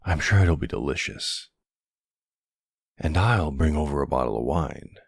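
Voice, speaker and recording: A man playing a smooth, flirtatious, middle-aged mafia boss in an ASMR roleplay, courteous and teasing, with a husky, gravelly voice. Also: rumbling low, animated, no echo, clean studio sound, heavily acted. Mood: warm, flirtatious.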